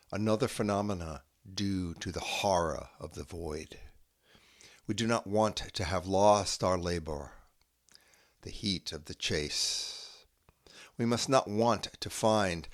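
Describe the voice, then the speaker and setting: Slow, solemn low-voiced man reading. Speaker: reader and host.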